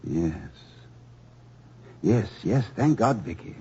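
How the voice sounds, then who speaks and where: An actor playing a genial, self-deprecating male professor in a 1950s radio drama, speaking with a husky voice. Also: on the low side, animated, slow pace. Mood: moved. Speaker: college professor. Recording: dull lo-fi old radio broadcast.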